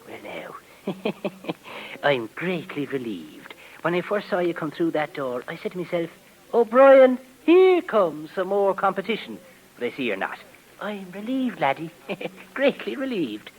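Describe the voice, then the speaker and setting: A man playing a roguish Irish crook in a 1950s radio drama, with an Irish accent, a husky voice and a folksy, sly lilt. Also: sits high, big pitch swings, measured pace, full character voice, rough recording. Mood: relieved, chuckling. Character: roguish Irish crook who chases stolen loot. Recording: vintage 1950s radio recording.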